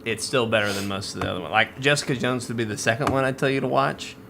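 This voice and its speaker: A man hosting a podcast in casual, informal chat, talking like an excitable fan. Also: mid-range voice, fast talker. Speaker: podcast host. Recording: clearly noisy room recording.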